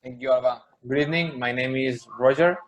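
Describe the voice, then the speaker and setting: A man's voice: medium pitch, a little fast. A man in his twenties from Catalonia introducing himself steadily. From Catalonia, Spain. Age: 20s. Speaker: meeting participant. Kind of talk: casual self-introduction. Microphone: video call.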